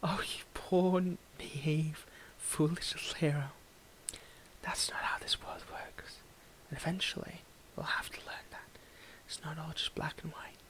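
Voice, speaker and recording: A man's voice: fairly high pitch, fairly even intonation, measured pace, whispered, low-quality recording. A man playing a cold, domineering tsundere villain in an audio roleplay, condescending and theatrical, secretly fond of the listener he addresses intimately. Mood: hushed, condescending. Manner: condescending, theatrical, swinging between ranting and hushed murmurs.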